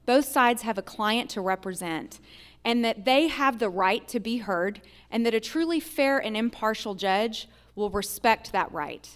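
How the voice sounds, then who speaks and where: An American woman giving a measured, earnest answer as a judicial candidate at a public forum. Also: a little high, expressive.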